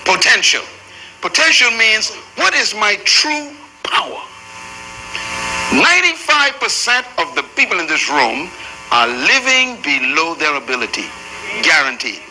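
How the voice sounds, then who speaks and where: A man's voice: high voice, sing-song, a little slow, background noise. A man preaching a sermon to a room full of people, emphatic and rhythmic. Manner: emphatic, preaching to a live crowd. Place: large room with an audience.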